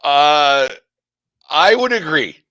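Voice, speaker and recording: A man's voice: fairly high pitch, expressive, takes it slowly, sharp tone, no echo, muffled recording. A middle-aged man hosting a casual sports talk, rambling with lots of fillers and restarts. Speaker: podcast host.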